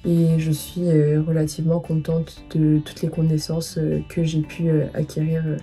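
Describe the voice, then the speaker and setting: A young adult woman, a former student, talking hesitantly with many pauses and fillers. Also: deep voice, monotone, mellow, faint music behind, poor audio. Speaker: former student giving a testimonial.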